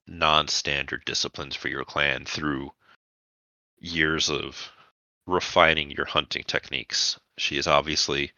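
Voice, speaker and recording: A man with an extremely low voice and a hard, very bright edge runs a tabletop roleplaying game. He phrases his narration deliberately, pausing between thoughts, and the sound is close and dry. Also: no room sound. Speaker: game master.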